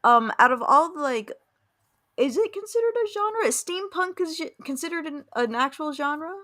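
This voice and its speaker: A woman's voice: sits high, expressive, moderate pace, a bit bright. A woman hosting a casual interview, chatting informally and reacting with exclamations. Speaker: interviewer, show host.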